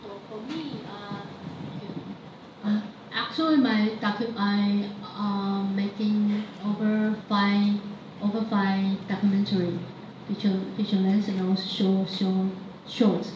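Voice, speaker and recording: Slow, halting woman, non-native English. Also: mid-range voice, little pitch movement, noisy background. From Taiwan. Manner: halting, searching for English words. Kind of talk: panel discussion answer. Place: event hall. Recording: echoey hall, distant mic, dull sound.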